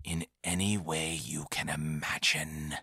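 A man's voice: medium pitch, big pitch swings, unhurried, pushed and bright, no room sound, clean studio sound, voice pushed up. Man putting on a sly, theatrical alien trader. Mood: conspiratorial whisper. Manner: ingratiating, conspiratorial, dramatic haggling. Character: sly, flattering male alien trader, youthful, theatrical salesman.

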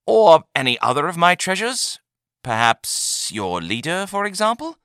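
Sly alien merchant voiced by a man, ingratiating haggler for a sci-fi audiobook, animated and forward in tone. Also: fairly high pitch, a little slow, dry recording, studio quality, voice pushed up, heavily acted. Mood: smooth persuasion. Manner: ingratiating, conspiratorial, dramatic haggling. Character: sly, flattering male alien trader, youthful, theatrical salesman.

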